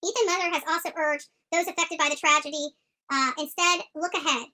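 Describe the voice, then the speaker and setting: A commentator with an extremely high-pitched voice and a sharp, bright edge. The delivery is opinionated true crime commentary, combative and emphatic, with points hammered home by repetition. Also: fairly even intonation, a little fast. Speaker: online commentator.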